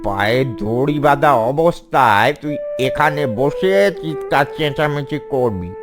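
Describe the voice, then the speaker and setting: A man's voice: a little high, unhurried, close to an everyday voice. A man voicing a cunning, cruel fox in a cartoon, with a menacing, gloating manner. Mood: scheming. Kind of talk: cartoon villain dialogue. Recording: music behind the voice.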